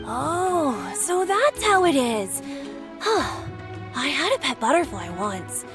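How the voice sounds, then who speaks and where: A woman's voice: high-pitched, sing-song, takes it slowly, over music, heavily acted. A woman voicing a curious, friendly young onlooker in an anime game.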